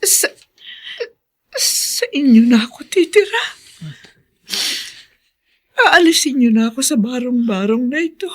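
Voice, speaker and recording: An actress playing a sickly, pious, poor older sister in a Filipino radio drama, slow, weary and emotional, with a creaky, husky voice. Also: female voice, high-pitched, lively intonation. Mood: tearful.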